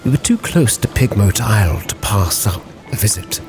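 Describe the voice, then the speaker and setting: A man playing a grave, driven Victorian sea captain, solemnly narrating his log with theatrical, weighty pauses over music. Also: on the low side, sing-song. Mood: grave, suspenseful. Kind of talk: dramatic scripted storytelling, ship's log in character.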